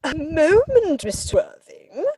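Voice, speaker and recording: A woman playing an imperious older aristocratic English aunt in a comic stage-play reading, with pompous, deliberate delivery. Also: high voice, sing-song, dark tone, no echo. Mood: calculating, impressed.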